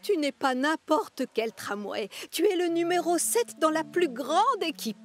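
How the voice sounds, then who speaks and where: A woman voicing a bossy yet encouraging female railway carriage in a cartoon, expressive and coaxing. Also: high-pitched, unhurried. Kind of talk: French cartoon dub acting.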